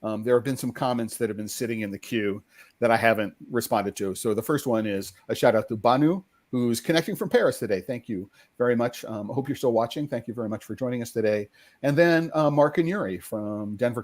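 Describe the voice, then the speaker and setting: A man hosting a live stream, his voice mid-pitched and conversational. He talks in relaxed, loose sentences and breaks them up with ums and restarts. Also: brisk. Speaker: host. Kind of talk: casual live-stream interview.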